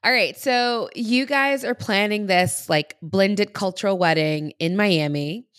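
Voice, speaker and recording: A woman's voice: mid-range voice, unhurried, fairly bright tone. A woman hosting a podcast in a casual, chatty interview style, with an upbeat, informal and encouraging manner. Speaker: podcast host and wedding planner.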